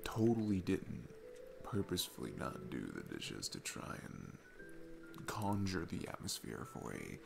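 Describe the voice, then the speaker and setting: A man's voice: low voice, huge pitch swings, unhurried, gravelly, over music, heavily acted. A man playing a sweet, nervous single dad in an intimate ASMR roleplay, rambling and hesitant in a casual, self-deprecating way. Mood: playful rambling.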